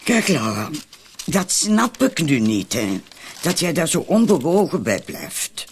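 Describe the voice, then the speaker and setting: A man playing an anxious, easily exasperated club madam with a husky voice in a radio drama. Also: fairly high pitch, unhurried, slight background noise. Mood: fretful. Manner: fretful, excitable.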